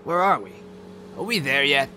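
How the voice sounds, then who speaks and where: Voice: mid-range voice, expressive, moderate pace, bright, forceful tone, slight background noise. An actor voicing a whiny, sulking male talking pig from the city in a comic dub. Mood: whining.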